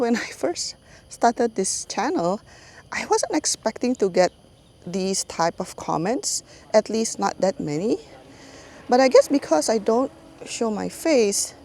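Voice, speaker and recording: A woman's voice: on the high side, mellow. A middle-aged woman with a Singaporean English accent, talking casually to her channel's viewers and laughing often mid-sentence. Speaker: YouTube channel host. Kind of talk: casual voiceover chat to viewers.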